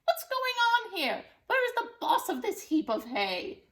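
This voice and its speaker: Bossy, scheming hag witch for a storybook, high and wildly swooping, played by a woman. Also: huge pitch swings, measured pace, fairly bright tone, full character voice. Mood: outraged. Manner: theatrical, exclaiming, bossy. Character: cranky, scheming hag witch craving piggy pie. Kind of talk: storybook character voice.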